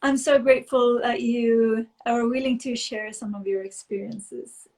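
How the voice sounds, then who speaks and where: A woman's voice: on the high side, steady tone, a little slow, darkish tone. A woman yoga instructor hosting a casual live stream and video call, upbeat, laughing often, with fillers and restarts.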